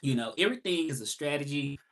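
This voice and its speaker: A man's voice: fairly high pitch, expressive, fast, fairly bright tone. Southern man, 30s, reflective livestream host, repeats key points. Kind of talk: casual live monologue.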